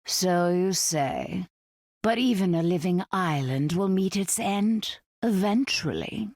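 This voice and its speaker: Slow, low, ominous villainess. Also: female voice, lively intonation, darkish tone, dry recording, studio quality, exaggerated voice. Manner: slow, deliberate. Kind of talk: video game character voice lines.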